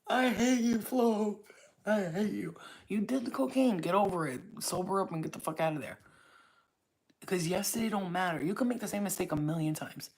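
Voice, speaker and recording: A woman's voice: mid-range voice, lively intonation, moderate pace, a little mellow, breathy edge, low-quality recording. A woman from Staten Island, hosting her own channel and talking straight to viewers in a blunt, profane, preachy way. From Staten Island, New York. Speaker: spiritual reader and channel host. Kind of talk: casual talk to camera.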